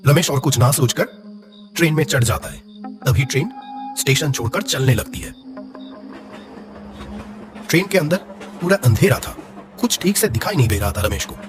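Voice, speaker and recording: A man's voice: mid-range voice, lively intonation, moderate pace, long pauses, music in the background. A man narrating a scripted horror story dramatically.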